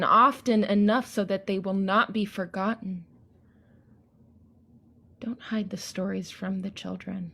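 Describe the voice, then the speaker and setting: A woman giving a poetic spoken-word performance in a solemn, deliberate and measured way. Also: medium pitch.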